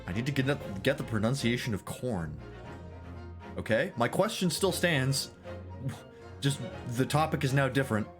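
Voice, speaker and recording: A man's voice: medium pitch, lively intonation, normal speed, over music. A man streaming a game, chatting casually and rambling with self-deprecating jokes.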